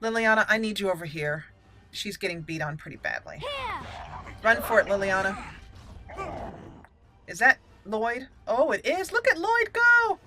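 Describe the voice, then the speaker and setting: A woman's voice: medium pitch, big pitch swings, a little slow, long pauses, bright, forceful tone. A woman hosting a gaming channel, giving casual play-by-play and thinking aloud as she narrates each move. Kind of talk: casual gameplay commentary. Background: game music.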